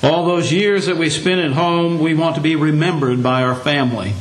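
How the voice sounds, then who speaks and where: An older male preacher delivers a Sunday lesson in a plain, folksy manner, his slightly high voice hoarse and scratchy. He speaks in a church room that rings with echo. A faint hum sits behind him. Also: normal speed. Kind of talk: sermon. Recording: echoey room.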